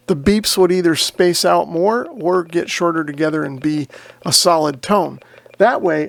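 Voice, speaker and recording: A man's voice: high voice, vocal fry. A man hosting a casual, methodical product demo, explaining things step by step. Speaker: host of a radio review and demo video. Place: indoor radio shack.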